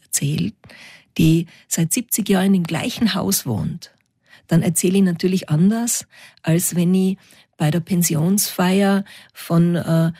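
A woman with a Tyrolean Austrian accent, a storyteller answering interview questions in a lively, reflective way. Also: lowish voice, breathy edge, no echo, clean studio sound. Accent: Tyrolean Austrian German.

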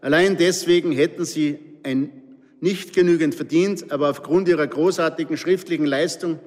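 A stern, lecturing older professor, as a male reader voices him. The voice sits a little high and carries a long reverb tail. He speaks stiffly, as if grading every word. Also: moderate pace, darkish tone, natural voice.

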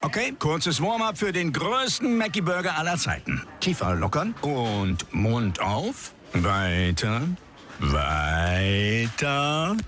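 A man delivers a playful commercial voice-over like a coach. His intonation swings widely at a measured pace, with light music behind. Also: mid-range voice, very animated, a bit bright. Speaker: advert voice-over.

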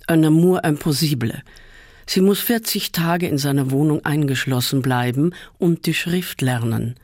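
Man calmly reading a literary memoir. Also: on the high side, no room sound, clean studio sound. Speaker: reader. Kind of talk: reading a first-person literary essay aloud.

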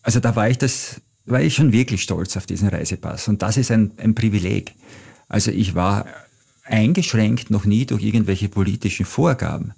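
A middle-aged man with an Austrian German accent, a travel author telling anecdotes in a reflective, measured way as an interview guest. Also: fairly low pitch, gravelly, dark tone, slightly rough. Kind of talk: interview answers, storytelling.

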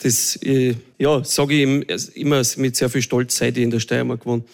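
Hesitant Austrian choir director. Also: male voice, medium pitch, level tone, moderate pace, gravelly. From Salzburg, Austria. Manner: hesitant, with many fillers. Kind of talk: interview answers.

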